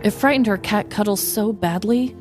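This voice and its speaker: A woman's voice: mid-range voice, moderate pace, faint music behind. An American woman co-hosting a podcast, moving between casual banter and scripted comedic storytelling. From United States. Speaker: podcast co-host.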